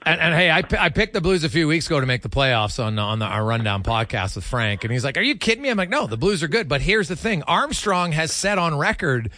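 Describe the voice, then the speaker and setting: A man's voice: fairly high pitch, very animated, fast, hardly any pauses, pushed and bright, no echo. A man giving opinionated, conversational hockey analysis as a guest, speaking off the cuff with emphasis. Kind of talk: unscripted hockey talk.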